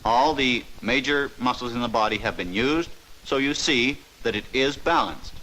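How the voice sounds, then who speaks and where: A man giving a formal, measured, scripted narration in the style of a 1950s instructional film. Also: mid-range voice, sharp tone, a little hiss or hum, rough recording.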